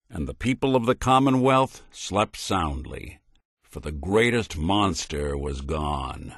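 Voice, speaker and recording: A world-weary robot private eye from a video game, speaking in a low register with very expressive swings of pitch. He is sardonic and principled, delivering hard-boiled noir lines with a dry, folksy turn. The recording is close and dry. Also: no room sound, heavily acted. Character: weary, wry male android detective, world-worn but principled.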